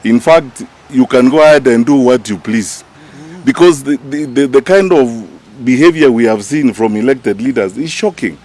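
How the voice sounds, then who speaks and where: A man's voice: mid-range voice, expressive, normal speed, darkish tone. Kenyan male, party official addressing the press; emphatic, indignant, unscripted political delivery. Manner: emphatic, indignant, rhetorical questioning. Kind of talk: press conference statement, spoken off the cuff.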